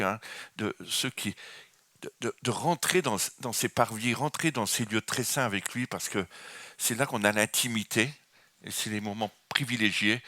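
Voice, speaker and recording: Low-voiced French preacher, dry close sound. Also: male voice, bright, forceful tone, no room sound. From France. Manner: enthusiastic, rambling, with frequent restarts and fillers. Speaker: guest preacher. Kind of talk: spontaneous charismatic sermon. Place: church.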